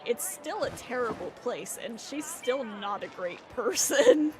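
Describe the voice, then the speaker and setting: Female game streamer, higher-pitched and animated, casual unhurried delivery, loud music underneath. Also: rough recording. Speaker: game livestreamer. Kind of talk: casual livestream chat and game commentary.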